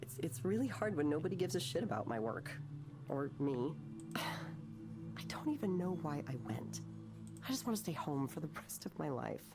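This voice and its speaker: An actress voicing an anguished, bitter, self-doubting woman artist in a naturalistic visual novel, speaking haltingly and emotionally. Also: sits low, sing-song, over music. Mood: hurt, despairing. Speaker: counselling patient.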